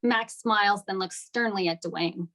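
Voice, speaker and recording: A woman's voice: medium pitch, measured pace, fairly bright tone. A woman narrating scripted stage directions at a table read of a screenplay.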